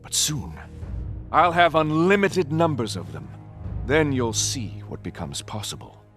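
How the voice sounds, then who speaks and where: A man's voice: fairly high pitch, expressive, a little slow, fairly bright tone, soft background music. A man voicing a suave, scheming, grandiose villain in a video game, theatrical and condescending. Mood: smug boasting.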